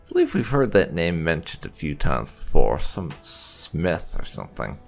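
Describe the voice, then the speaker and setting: A man's voice: low-pitched, huge pitch swings, moderate pace, vocal fry, faint music behind. A man hosting a casual roleplay let's-play, musing and hesitating as he talks. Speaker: gaming channel host.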